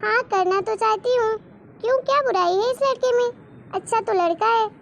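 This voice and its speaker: Woman as middle-aged cartoon mum: proud, protective, emphatic, very high voice, light background noise. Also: moderate pace, creaky, muffled recording. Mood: concerned. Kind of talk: Hindi cartoon moral-story dubbing.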